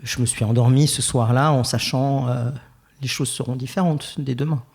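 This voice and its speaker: A young Swiss French man from Geneva, telling his life story as a podcast guest, thoughtful and hesitant with many pauses and restarts. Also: mid-range voice, steady tone, creaky, a little mellow. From Geneva, Switzerland. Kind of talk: reflective personal interview.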